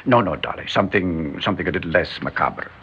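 An actor playing a precise, fastidious male conspirator in an old radio drama, formal and exacting in his speech. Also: low voice, bright, forceful tone, husky, exaggerated voice. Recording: muffled old radio recording.

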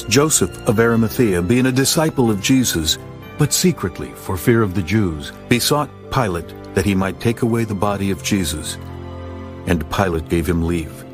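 Male narrator, low voice, solemn steady scripture reading, faint music bed. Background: soft music.

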